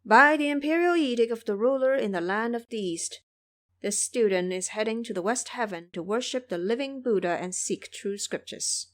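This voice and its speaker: A woman's voice: fairly high pitch, moderate pace, lightly acted. A woman playing a pious male Buddhist monk, an earnest master who admonishes and preaches in an audio drama. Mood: solemn.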